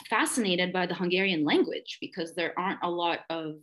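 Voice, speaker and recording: A woman's voice: lowish voice, a little fast, fairly bright tone. A woman in her thirties, a perfumer who grew up in Dubai, talking reflectively and effusively as a guest in a casual interview. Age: 30s. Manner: reflective and effusive, searching for words with many fillers.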